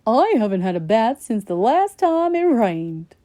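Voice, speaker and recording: A woman's voice: on the high side, very animated, measured pace, dark tone, no echo. A woman voicing a scruffy, cheerful, goofy donkey in a children's storybook. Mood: goofy, eager. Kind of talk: children's storybook character acting.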